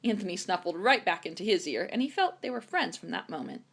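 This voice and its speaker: A woman reading a children's chapter book aloud in an expressive storytime narration style. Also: mid-range voice, fast, creaky. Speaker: storytime reader.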